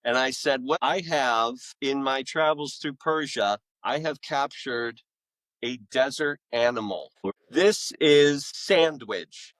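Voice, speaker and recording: A man talking casually as an author guest in a podcast interview, with an informal, halting, rambling delivery. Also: medium pitch, a bit bright, no echo, rough recording.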